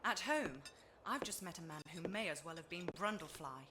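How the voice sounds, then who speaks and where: A woman's voice: on the low side, expressive, normal speed, noisy background, close to an everyday voice. An actress playing a cool, defiant female adventurer with a British accent and a dry, sardonic manner in a video game cutscene. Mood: incredulous. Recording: music behind the voice.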